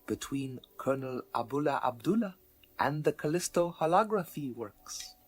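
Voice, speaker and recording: A humble but wily antique-shop keeper, acted by a man in a radio play. He talks in stilted, elaborately polite broken English, always lowering himself as he passes on information. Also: expressive, moderate pace, noisy background, close to an everyday voice. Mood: humble, cryptic. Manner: stilted, overpolite broken English, self-deprecating. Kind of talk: radio drama character dialogue.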